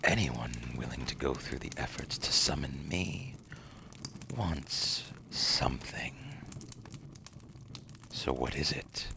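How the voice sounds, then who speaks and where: An actor playing a suave, condescending demon dealmaker in an ASMR roleplay, in a gravelly, breathy half-whisper with slow, smug, silky delivery. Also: male voice, rumbling low, noisy background, heavily acted. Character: seductive, condescending male demon dealmaker, suave otherworldly banker.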